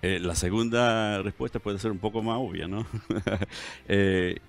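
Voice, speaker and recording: A middle-aged man with a deep, low voice talks in Spanish carrying a blended Chilean, Mexican and American accent. He hesitates and restarts often, chatting as a guest on a headset mic in a TV studio. Faint music sits in the background. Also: steady tone, measured pace, vocal fry. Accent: mixed Chilean, Mexican and American Spanish. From California, United States. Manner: hesitant, many fillers and restarts. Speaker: guest historian. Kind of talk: relaxed interview conversation. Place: TV studio set.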